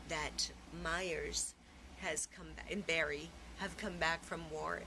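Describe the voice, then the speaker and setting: A middle-aged woman giving a reflective, personal interview, speaking haltingly as she searches for her words. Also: low voice. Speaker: theatre performer being interviewed. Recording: clearly noisy room recording.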